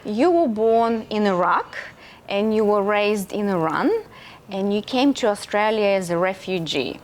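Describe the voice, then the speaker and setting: A woman's voice: mid-range voice, animated, a little slow. A woman hosting an interview with an enthusiastic presenter delivery, recorded at a bar venue. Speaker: interview host. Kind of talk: hosted interview.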